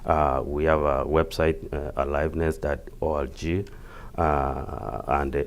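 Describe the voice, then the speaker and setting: Very deep-voiced Kenyan man. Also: steady tone. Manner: halting, repeats words. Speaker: interview guest, community health project worker. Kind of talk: interview answers, explaining.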